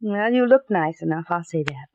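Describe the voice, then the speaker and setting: A woman's voice: lowish voice, lively intonation, normal speed, a little mellow, a little hiss or hum. An actress playing a chatty, curious single woman in a 1950s radio drama, breezy and inquisitive. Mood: curious, suspicious. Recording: dull vintage radio recording.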